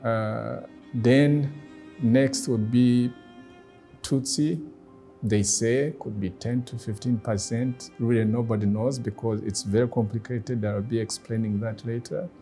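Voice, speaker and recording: A middle-aged man with a Rwandan accent, a native Kinyarwanda speaker, talking reflectively in a deliberate, measured way with pauses. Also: mid-range voice, steady tone, mellow, faint music behind. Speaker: guest speaker, former ambassador. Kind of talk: reflective personal talk.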